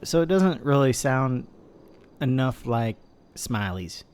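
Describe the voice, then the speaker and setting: A man's voice: mid-range voice, animated, takes it slowly, a little mellow, a little hiss or hum. A man in his twenties chatting casually in character during a roleplay, rambling with lots of restarts. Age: 20s. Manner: rambling, self-interrupting storyteller.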